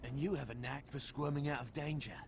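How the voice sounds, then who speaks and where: An actor playing a gruff, sarcastic male soldier with a British accent in a video game cutscene. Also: mid-range voice, steady tone, fairly quick, background noise, lightly acted. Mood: dry, blunt. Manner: blunt, sardonic. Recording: game audio with music behind.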